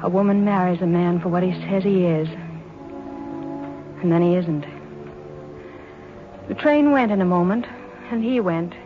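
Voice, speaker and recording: An actress playing a proud, wounded mother, with solemn, halting delivery in 1940s radio drama style. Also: on the low side, narrow, tinny audio, over music, lightly acted. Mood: solemn, bitter. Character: wounded, proud wartime mother telling her story to her son.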